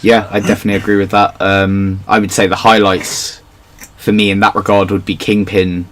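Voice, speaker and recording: A man's voice: sits low, level tone, moderate pace, fairly bright tone. A man hosting a podcast in a casual discussion, giving his opinions in an analytical way. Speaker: podcast host.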